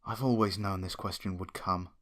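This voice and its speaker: A man's voice: low-pitched, fairly even intonation, moderate pace, fairly bright tone. A man reading a story aloud as a dramatic, scripted first-person narration. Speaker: fan fiction reader, narrator.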